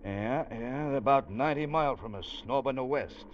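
An actor playing a sensible, skeptical ship's officer in a 1940s radio drama, telling the tale in an earnest, dramatic way. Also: male voice, mid-range voice, normal speed, slight background noise, close to an everyday voice. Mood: businesslike. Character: sensible, skeptical ship's first officer recounting a sea adventure. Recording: muffled old radio drama recording.

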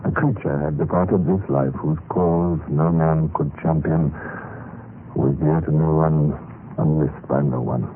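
Very deep, mournful dead clerk. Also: male voice, steady tone, a little hiss or hum. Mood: mournful, grave. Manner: grave, measured, theatrical. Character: meek, dead Russian clerk mournfully telling his own story. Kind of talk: 1950s radio drama narration. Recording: muffled old radio recording with music. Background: music.

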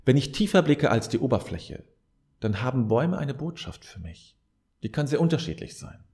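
A man's voice: medium pitch, sing-song, normal speed, a little mellow. A man giving a reflective advice monologue as a podcast host, speaking straight to the listener in an earnest, preacher-like way and repeating key phrases for emphasis.

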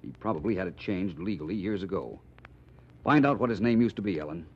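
An actor playing a suave, drily witty gentleman detective, polished and courteous, in 1940s radio style. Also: low voice, expressive, brisk. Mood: measured, probing. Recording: muffled, hissy 1940s radio recording.

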